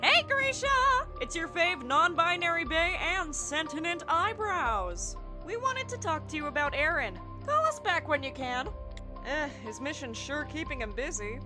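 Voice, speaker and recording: An excitable, eccentric scientist who bubbles over with chatter, as a woman acts her out. The voice sits high and jumps around eagerly. A music score plays underneath. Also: measured pace. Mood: playful. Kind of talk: fan audio drama acting.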